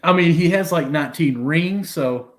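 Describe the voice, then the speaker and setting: A man's voice: on the high side, normal speed. A man hosting a casual sports podcast, joking and steering the conversation along. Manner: joking, keeps the show moving. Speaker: podcast host.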